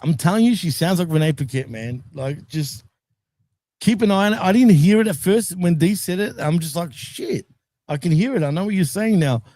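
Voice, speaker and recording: A man co-hosting a casual podcast, laid-back and quick to laugh, swearing casually as he chats. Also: high-pitched, lively intonation, warm tone, dry recording. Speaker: co-host. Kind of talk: casual chat.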